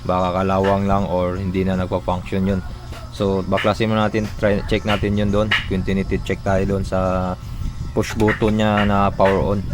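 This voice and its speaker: Low-pitched Filipino man, casual repair tutorial. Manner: informal, talking through steps while working. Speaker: electronics repair tutorial host.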